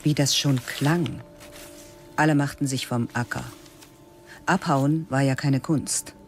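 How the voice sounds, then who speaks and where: A man reading the narration of a radio play in a calm, measured, literary way. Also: medium pitch, soft background music. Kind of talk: scripted audiobook narration.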